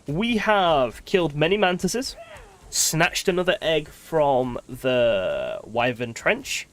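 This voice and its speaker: A man's voice: fairly high pitch, very animated, takes it slowly, a bit bright. A man giving casual live gameplay commentary as a streamer, chatty and thinking aloud, with wry, sweary grumbling. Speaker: game streamer.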